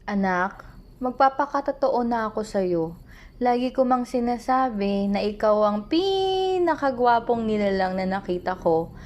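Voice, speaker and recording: A woman's voice: fairly high pitch, lively intonation, a little slow. A woman acting as a proud, probing and tender mother in a radio drama, with a Filipino accent. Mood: tender, earnest. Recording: music playing behind the voice.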